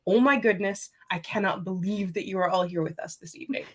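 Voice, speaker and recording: A woman's voice: medium pitch, brisk, breathy edge. A woman hosting an author panel, introducing guests in an enthusiastic, playful way. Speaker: host. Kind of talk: event host introduction.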